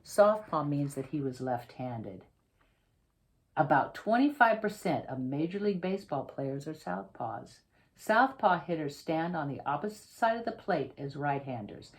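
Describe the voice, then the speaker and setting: A woman reading a children's picture book aloud as its author, with theatrical, emphatic delivery and drawn-out, suspenseful phrasing. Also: low voice. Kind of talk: dramatic read-aloud storytelling for children.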